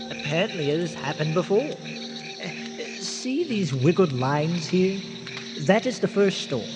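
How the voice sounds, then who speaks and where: An actor playing an earnest, eccentric castaway scientist in a radio drama, speaking formally with hesitant stammers. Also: male voice, on the high side. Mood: explaining intently. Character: eccentric, long-stranded scientist explorer, earnest and courtly. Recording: noisy radio drama, long cavernous reverb.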